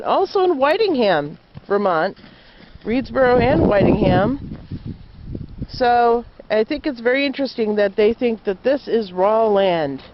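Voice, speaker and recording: New woman, earnest and measured, muffled lo-fi recording. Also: medium pitch, slight background noise. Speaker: homesteader. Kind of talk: plain spoken statement.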